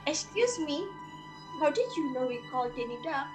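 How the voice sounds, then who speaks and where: A woman playing a polite, expressive wife and mother in a readers theater drama. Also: high voice, normal speed, over music. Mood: puzzled. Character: wife and mother, impressed and friendly.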